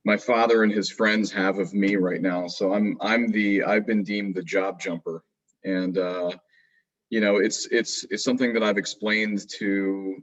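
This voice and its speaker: Low-voiced young man, muffled lo-fi audio. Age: young adult. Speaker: panelist. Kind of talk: casual, reflective panel talk.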